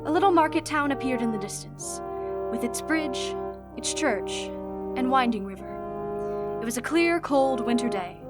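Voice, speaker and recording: A woman narrating a scripted radio drama in a measured storytelling way. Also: fairly high pitch, animated. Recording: loud music behind.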